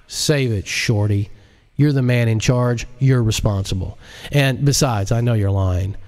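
A man's voice: medium pitch, a little slow, a little mellow, natural voice. Man acting a cocky, mocking computer genius. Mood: stern, accusing. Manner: mocking, folksy, scolding. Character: cocky, sardonic male computer genius lecturing the powerful.

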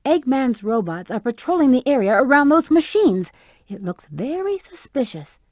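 Sassy flirty bat lady game character, high voice, super animated, teasing and sure of herself. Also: moderate pace, no echo, studio quality. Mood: curious, playful. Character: sultry, sassy adult female bat treasure hunter. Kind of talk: video game character voice acting.

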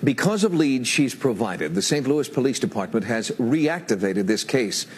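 A man giving grave, measured scripted narration for a television documentary. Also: medium pitch, big pitch swings, slight background noise. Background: music.

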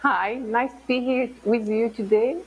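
A woman's voice: on the high side, measured pace, breathy edge. Middle-aged Belgian-raised woman on a dull video call. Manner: animated, non-native phrasing, hand gestures. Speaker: artist guest.

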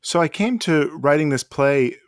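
A man's voice: a little high, expressive, moderate pace, no room sound, clean studio sound. A man, a playwright guest, talking casually and thoughtfully in an interview.

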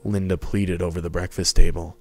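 A man's voice: sits low, little pitch movement, a little fast, gravelly, soft background music. A man narrating a scripted story as a dramatic reading.

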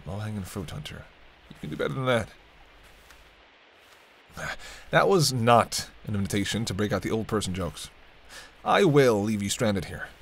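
A man's voice: on the low side, big pitch swings, brisk, vocal fry, full character voice. A man playing a wry, teasing vampire. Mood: playful, sardonic. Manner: bantering, wry, murmured asides. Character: teasing, sardonic male vampire, centuries-weary but protective. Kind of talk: intimate roleplay audio drama, addressing the listener.

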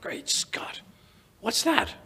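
An older man playing an excitable, blustering gentleman doctor and detective's sidekick, stammering in old-time radio style. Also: very slow, whispered. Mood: alarmed. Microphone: shared stage microphone.

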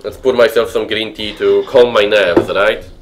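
A man's voice: on the low side, steady tone, normal speed, background noise. Polish-accented young man streaming a horror game. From Poland. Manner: chatty, nervous mutters, sudden outbursts. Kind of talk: horror game commentary with chat. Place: home room, indoors.

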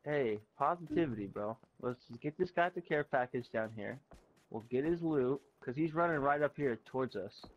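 A man talking a teammate through a game, calm, upbeat and reassuring. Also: medium pitch, normal speed, dull audio, a little hiss or hum. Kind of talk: live gameplay chat.

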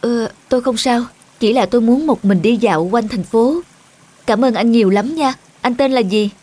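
Woman playing a high-voiced, affectionate young woman. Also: normal speed, warm tone, breathy edge. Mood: grateful. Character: grateful, affectionate young woman, grown childhood friend. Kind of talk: animated cartoon dub. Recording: music behind the voice.

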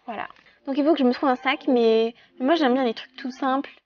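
A woman's voice: sits high, brisk, lo-fi sound. A young adult woman, chatting casually to the camera as a vlogger. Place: small furnished room at home, later inside a parked car. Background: background music.

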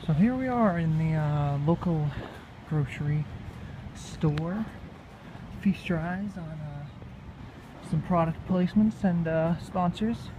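Playful, rambling vlogger with a mid-pitched voice. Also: slow, long pauses, background noise. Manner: playful, rambling, breaks into silly singing. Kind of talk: casual vlog chat. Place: handheld while walking outdoors, in a store and in a room. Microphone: handheld phone.

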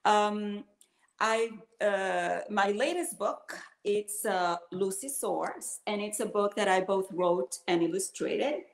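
A woman's voice: medium pitch, takes it slowly. A woman author from Puerto Rico answering on a video-call panel, hesitant and thoughtful. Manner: hesitant, thoughtful, many fillers. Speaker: author and illustrator. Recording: roomy echo on video call.

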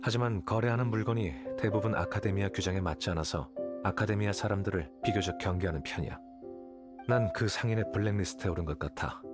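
An actor playing an aloof, calculating young scholar in a video game, speaking in a detached, measured, condescending way. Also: male voice, lowish voice, close to an everyday voice. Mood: cool, bargaining. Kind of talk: video game character dialogue. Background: game music.

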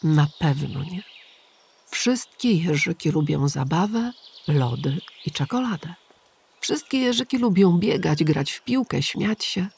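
A weary, kind old grey pigeon in a storybook, speaking slowly and gently to comfort a young child, as a woman puts him on. The voice is low and a little gravelly. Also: a little hiss or hum. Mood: reassuring. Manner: calm, gentle and reassuring.

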